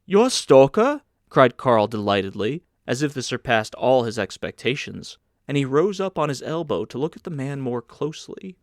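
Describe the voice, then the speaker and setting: A man's voice: medium pitch, moderate pace, few pauses, dry recording, clean studio sound. A man reading scripted literary narration as an audiobook narrator.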